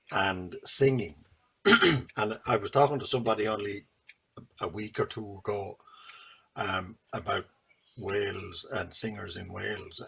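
An older man speaks over a video call from a room indoors, with a low voice that is gravelly and husky. He talks hesitantly, stumbling and restarting as he goes. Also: fairly bright tone, rough recording. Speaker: seminar participant.

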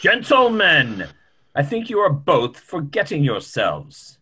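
A man's voice: on the high side, huge pitch swings, slow pace, pushed and bright, husky, exaggerated voice. A man in his sixties in a play reading, playing a genteel old doctor who reproves in a formal, declamatory way. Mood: reproving. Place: small room, video call.

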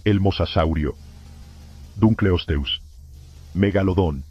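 A man playing a grave emergency broadcast announcer, narrating scripted horror warnings in a solemn, formal, measured way, through muffled lo-fi sound. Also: sits low, slight background noise.

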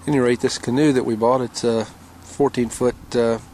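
A man with an American accent casually narrating his outing on a tidal creek, halting often with "uh", recorded outdoors on a handheld camera mic. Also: mid-range voice, a little hiss or hum. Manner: relaxed, halting, many "uh" fillers.

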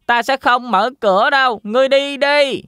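Clear, high, carefree piglet. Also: female voice, moderate pace, a bit bright, no room sound, studio quality. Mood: carefree, dismissive. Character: carefree, dismissive young male piglet, middle brother. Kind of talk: children's fairy tale cartoon dub.

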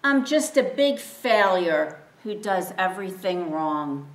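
A woman voicing a timid, weepy young male donkey who pleads in a high, fretful voice. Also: animated, slow pace. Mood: despairing. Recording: roomy, distant mic.

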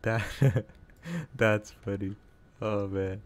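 A man's voice: on the low side, little pitch movement, very slow. A man from Canada whose first language is not English, chatting casually with his live-stream viewers while he plays, answering chat and laughing often.

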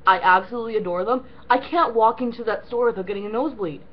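A woman's voice: on the high side, fast, muffled recording. Foul-mouthed young woman ranting. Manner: profane, animated, many fillers. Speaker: co-host. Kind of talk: casual ranting chat.

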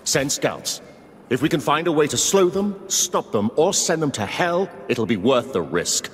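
A man's voice: fairly high pitch, very animated, a little slow. A man playing a war-weary, commanding lord in a video game, grave and resolute. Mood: commanding, urgent. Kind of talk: video game cutscene acting. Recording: reverberant hall with faint ambient noise.

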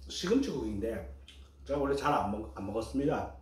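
A man's voice: medium pitch, lively intonation, fairly quick, darkish tone. A middle-aged man giving casual commentary while eating at home, in short remarks between bites. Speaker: mukbang vlogger. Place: small room at home, indoors. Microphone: camera across the dining table. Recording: echoey room, faint background noise.

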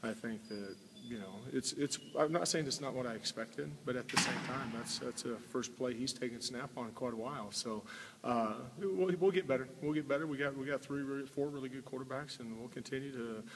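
A man in his forties, a college football head coach, giving a halting postgame interview indoors and repeating his words often. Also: medium pitch, fairly bright tone. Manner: halting, repeats words, many filler sounds. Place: indoor room before a sponsor backdrop. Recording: long room reverb with faint hiss.

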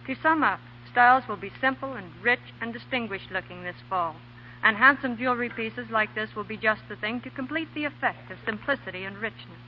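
A woman's voice: on the high side, fairly quick. A woman presenting as a fashion editor on 1940s radio, reading a script formally and precisely. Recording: muffled, noisy 1940 radio broadcast.

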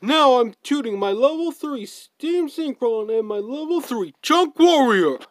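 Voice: high voice, animated, unhurried, no room sound, clean studio sound. An amateur performer reading out every part in an anime fan dub. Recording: noisy home recording with clicks.

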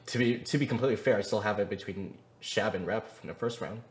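A man's voice: fairly low pitch, fast. A man talking casually with other players during an online game, as one of the players. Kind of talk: casual group game chat.

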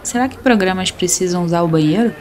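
A woman's voice: fairly low pitch, fast, lightly acted. A woman with a Brazilian accent voicing a brash, needling former air force member in an audio drama. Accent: Brazilian Portuguese.

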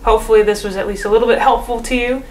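A young woman teaches with a calm, patient delivery, her voice sitting a little high. She's filmed in her living room by a camera a few feet away, and the room adds a noticeable echo. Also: very fast, slight background noise. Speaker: percussion teacher. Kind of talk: instructional demonstration.

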